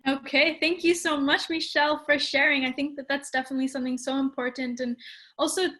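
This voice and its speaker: High-voiced woman co-hosting an online panel. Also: steady tone, fairly quick, bright, forceful tone. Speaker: co-host. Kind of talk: reading an introduction and moderating. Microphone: video call.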